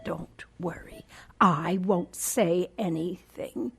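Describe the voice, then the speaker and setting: Slow, halting, genteel old lady. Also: lowish voice, gravelly, breathy edge, faint music behind, heavily acted. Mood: quietly menacing. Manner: genteel, condescending, deliberate. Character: cold, genteel old rich woman recovering from stroke, quietly menacing. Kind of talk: audio drama acting.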